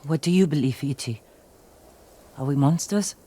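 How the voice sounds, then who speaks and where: A woman's voice: low-pitched, moderate pace, warm tone, slightly rough. Tough, intense woman thief. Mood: quiet conviction. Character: tough, driven female thief carrying guilt. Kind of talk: dramatic video game acting.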